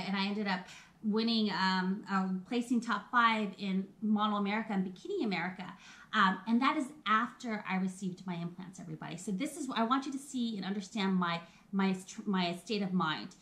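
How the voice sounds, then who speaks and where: A woman's voice: medium pitch, brisk, fairly bright tone. A woman in her thirties hosting a casual live video, chatty and personal and often laughing as she talks straight to her viewers. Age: 30s. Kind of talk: casual live-stream chat.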